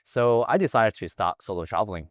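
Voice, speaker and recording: Low guy, earnest life coach vibe, keeps saying right?, dead dry sound. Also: animated, fairly quick, dry recording. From Hong Kong. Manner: earnest, keeps adding "right?" tags to check in with the listener. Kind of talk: reflective personal storytelling.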